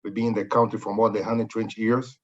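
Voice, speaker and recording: Brazilian-accented executive on a dull video call. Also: male voice, lowish voice, flat delivery, fast. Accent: Brazilian Portuguese. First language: Portuguese. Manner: friendly, slightly broken English. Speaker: company executive, guest. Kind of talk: interview answers.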